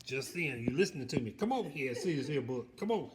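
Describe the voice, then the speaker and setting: A man voicing a silly, excitable alligator who reads a storybook theatrically and bumbles his words. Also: mid-range voice, quick pace, crowd noise, close to an everyday voice. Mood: storytelling. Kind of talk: comic dramatic storybook reading.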